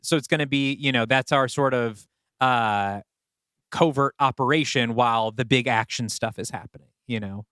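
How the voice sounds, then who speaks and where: A man's voice: medium pitch, moderate pace, fairly bright tone, smooth, dry recording, clean studio sound. A man co-hosting a podcast, working through ideas in a casual, conversational way. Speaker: podcast co-host and writer.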